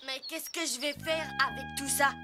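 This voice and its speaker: Very high child voice acted by a woman, spoiled little prince, demanding, animated film, loud music. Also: normal speed, long reverb tail, lightly acted. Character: spoiled, bossy little prince, a young boy. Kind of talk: animated film dub in French. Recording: loud musical score behind.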